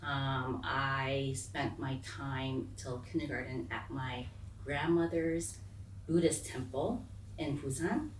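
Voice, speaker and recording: A woman's voice: extremely low pitch, steady tone, slow. An American woman in her forties telling her life story as an interview guest in a candid, informal, digressive way. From raised on US military bases, largely in South Korea. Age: 40s. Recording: echoey room, distant mic, faint noise.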